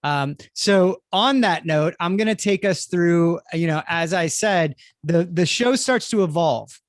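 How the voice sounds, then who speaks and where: High-voiced man hosting a panel. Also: a little slow, no room sound, clean studio sound. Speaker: host of a theatre panel. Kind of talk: live panel moderating.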